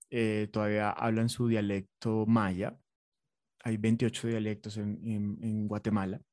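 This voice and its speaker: A man's voice: mid-range voice, mellow, no echo, clean studio sound. A man with a Colombian accent, a designer being interviewed, talking in an earnest, reflective way with fillers and restarts. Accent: Colombian Spanish. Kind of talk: conversational interview.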